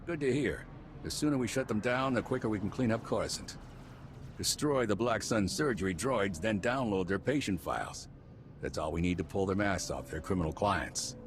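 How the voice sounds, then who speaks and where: An earnest male security officer played by a man in a video game. His voice is deep and determined, heard over clear vehicle rumble. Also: normal speed, lightly acted. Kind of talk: scripted video game dialogue.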